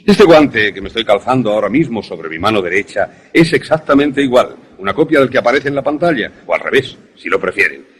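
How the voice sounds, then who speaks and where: A man acting an enthusiastic professor in a radio drama, lecturing in a deliberate, didactic, theatrical way. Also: mid-range voice, big pitch swings, lightly acted. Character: enthusiastic male professor demonstrating an invention to students.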